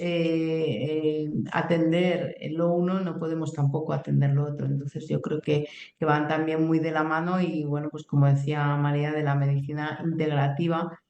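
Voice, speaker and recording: A woman's voice: deep voice, creaky, warm tone, a little hiss or hum. Woman, doctor, hesitant, echoey room. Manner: hesitant, many fillers and restarts. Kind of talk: explaining on a talk show. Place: clinic. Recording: roomy echo.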